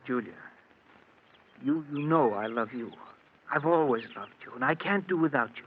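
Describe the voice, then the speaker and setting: Earnest, tormented married man, played by an actor, medium pitch, 1940s radio melodrama, narrow tinny recording. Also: lively intonation, normal speed, close to an everyday voice. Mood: pleading. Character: unhappily married, lovesick man, tormented and resentful. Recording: tinny, noisy old radio broadcast.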